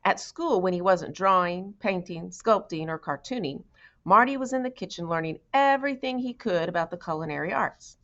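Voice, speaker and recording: A woman's voice: fairly low pitch, animated, moderate pace, fairly bright tone, muffled recording. A woman reading a children's storybook aloud as narrator. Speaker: audiobook reader.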